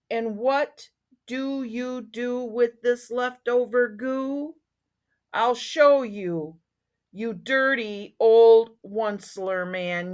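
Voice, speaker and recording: A woman playing a high scolding forest guardian. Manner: scolding, dramatic storybook read-aloud. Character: fussy small male forest guardian, scolding.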